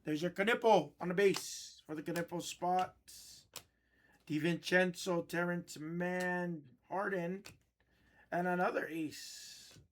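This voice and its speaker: A man's voice: high-pitched, steady tone, slow pace, slight background noise. A man from Oahu with a Hawaiian accent, hosting a live card break and chatting easily with viewers while he opens packs. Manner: chatty, teasing, easygoing banter. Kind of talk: live stream chat while opening cards.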